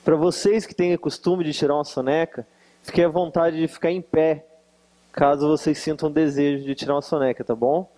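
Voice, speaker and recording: A man's voice: a little high, mellow. A man with a Minas Gerais accent preaching at a conference, teasing and joking with the audience between remarks. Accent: Brazilian Portuguese, Minas Gerais. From Varginha, Brazil. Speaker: guest preacher. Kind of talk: conference sermon with jokes. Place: conference hall with live audience.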